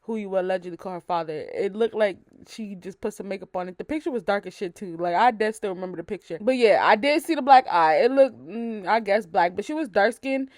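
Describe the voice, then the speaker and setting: A young woman with a deep voice telling a story to her viewers in a blunt, profane, animated way, acting out the lines of the people in it. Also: fairly quick, few pauses. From United States. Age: young adult. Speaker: YouTuber. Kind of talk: casual storytime vlog.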